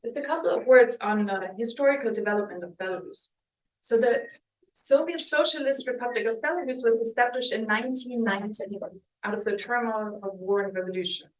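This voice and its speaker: A woman from Germany giving an academic book talk as a historian, speaking thoughtfully with pauses and restarts. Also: on the high side. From West Germany. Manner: thoughtful, hesitant, with restarts and ums. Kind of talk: academic lecture with slides. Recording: roomy, mic at a distance.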